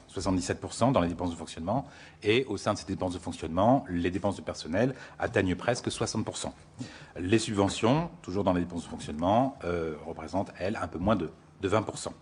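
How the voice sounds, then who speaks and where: A man's voice: low-pitched, darkish tone, husky. A man giving a formal, didactic presentation of study figures, addressing the listener directly. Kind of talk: formal spoken presentation of statistics.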